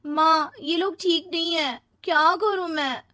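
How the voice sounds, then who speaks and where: A woman plays an emotional young wife in a family story. Her voice is high and plaintive, pleading and full of self-pity, in a dry recording. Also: steady tone, unhurried, sharp tone, no room sound, heavily acted. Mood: distressed, pleading.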